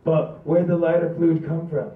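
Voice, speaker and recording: A man's voice: fairly high pitch, flat delivery, normal speed, phone-quality sound, background noise, close to an everyday voice. Cocky, clueless magician dad, male reader, comedy table read, roomy phone-thin sound. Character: cocky, self-deluded amateur magician and hapless father. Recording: distant, echoey, phone-thin recording.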